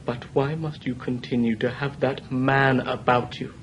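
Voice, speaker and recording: A man's voice: medium pitch, fairly even intonation, a little slow, lo-fi sound, slight background noise, lightly acted. Man acting an anguished, impassioned young writer son. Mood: tender, wounded. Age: young adult. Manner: impassioned, histrionic, formal period diction. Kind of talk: theatrical period drama acting.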